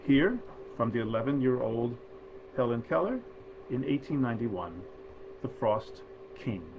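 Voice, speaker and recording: A man reading nineteenth-century fairy tales aloud in a measured, expressive storyteller's manner. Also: mid-range voice, muffled recording, noisy background. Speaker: actor reading stories aloud.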